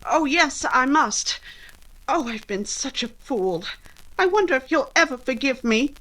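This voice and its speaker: A spirited young gentlewoman in a vintage radio romance , acted by a woman. Her voice is bright and fairly high, with very lively intonation. She is poised, witty and emphatic, with an independent streak. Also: a little slow, low-quality recording. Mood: earnest, tender. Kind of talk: recreated 1940s radio drama acting.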